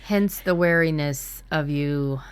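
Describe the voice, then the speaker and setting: A woman's voice: low voice, takes it slowly, clean tone. A woman co-hosting a workshop in casual, informal chat with a blunt way of talking. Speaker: workshop co-host.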